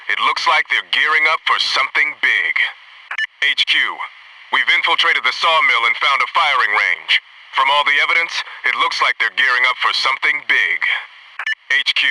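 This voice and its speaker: A man's voice: mid-range voice, pushed and bright, distant mic, poor audio. A man playing an alert, disciplined Navy SEAL squad soldier in a military video game, giving clipped, businesslike tactical reports.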